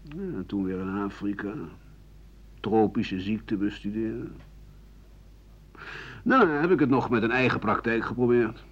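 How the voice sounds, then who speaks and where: Radio drama, world-weary elderly physician-writer, lowish pitch, sour disdain; dull 1980 recording. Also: male voice, lively intonation, measured pace, pauses often. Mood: weary, grumbling. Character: embittered old French doctor-writer looking back on life. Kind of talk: radio play acting.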